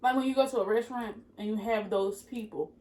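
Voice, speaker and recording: A woman's voice: a little high, steady tone, fast talker, a little mellow. Young woman chatting candidly over food. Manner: candid, laughs often, talks with her mouth full. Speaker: co-host. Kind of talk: casual chat while eating.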